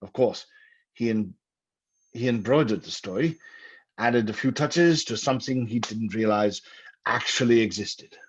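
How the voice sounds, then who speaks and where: Grave occult scholar for vintage radio horror: husky, fretful, deliberate, on a dull lo-fi recording. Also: male voice, fairly low pitch. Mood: solemn. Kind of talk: old-time radio drama acting. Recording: muffled old radio recording.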